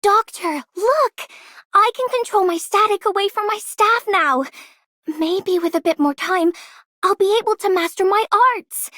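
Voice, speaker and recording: A woman's voice: extremely high pitch, unhurried, bright, forceful tone, dry recording, studio quality, heavily acted. An actress playing an eager, hard-working young girl in a video game. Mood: excited, eager.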